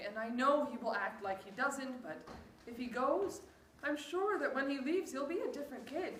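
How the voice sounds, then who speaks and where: A woman's voice: high voice, fairly bright tone. A woman acting a worried, affectionate mother in a staged script reading. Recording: echoey room, faint background noise.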